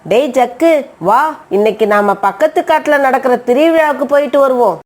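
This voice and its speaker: Woman as spendthrift cartoon mother crow: high voice, defiant, dismissive, quick to retort. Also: a little mellow, close to an everyday voice. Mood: breezy, coaxing. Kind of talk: Tamil animated moral story acting.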